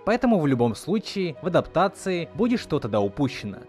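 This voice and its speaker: A man's voice: a little high, sing-song, fairly quick, a little mellow, faint music behind. A man hosting a video series, reading a scripted video essay. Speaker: host of a video series on film dubbing.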